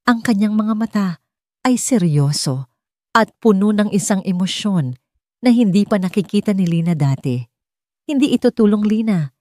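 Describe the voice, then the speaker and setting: A woman's voice: medium pitch, expressive, normal speed, darkish tone, no echo, clean studio sound. A woman with a Filipino accent reading a dramatic story as an audiobook narrator.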